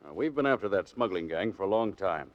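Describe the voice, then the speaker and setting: An actor playing a police inspector with an informal, grudging manner in 1940s radio drama style. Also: male voice, sits low, animated, a little fast. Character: gruffly appreciative male police inspector. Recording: muffled 1940s radio recording.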